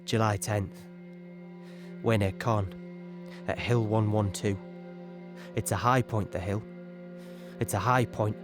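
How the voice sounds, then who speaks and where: A man playing a weary young infantryman, recalling battle in a halting, conversational audio-play monologue. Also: on the low side, steady tone, over music. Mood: grim, reflective.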